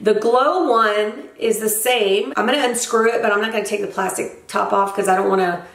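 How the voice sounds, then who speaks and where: A young woman with a scratchy, hoarse voice left over from a cold, chatting enthusiastically through a beauty product review. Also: mid-range voice, steady tone, normal speed, roomy sound. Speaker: beauty vlogger.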